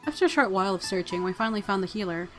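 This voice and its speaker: A woman hosting a video game playthrough, reading narration aloud and gushing with excitable reactions. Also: mid-range voice, racing, rough recording. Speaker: host of a gaming channel. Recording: faint music behind. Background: soft game music.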